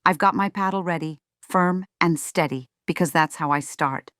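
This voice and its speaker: A woman's voice: lowish voice, normal speed, a bit bright, no echo, clean studio sound, lightly acted. A woman playing a strict, self-righteous middle-aged mother in a scripted roleplay, speaking to viewers with folksy, sugary condescension. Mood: firm. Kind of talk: scripted ASMR roleplay monologue.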